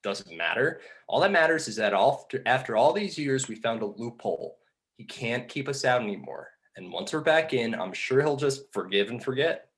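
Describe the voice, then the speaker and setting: A man playing a pedantic exiled angel in a film script table read, explaining things in a dry, measured way. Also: mid-range voice, animated, a bit bright, close to an everyday voice. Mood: reasoning. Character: Bartleby, exiled male angel, reasoning, pedantic, exasperated schemer.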